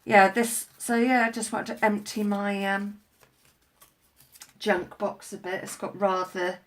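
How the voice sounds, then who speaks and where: A woman's voice: mid-range voice, steady tone, a little slow, slight background noise. A woman with a head cold, chatting in a rambling way on a live stream from home while crafting, recorded on a tablet. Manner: rambling, chatty, many fillers and restarts. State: congested with a head cold, coughing. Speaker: craft vlogger. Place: at home indoors.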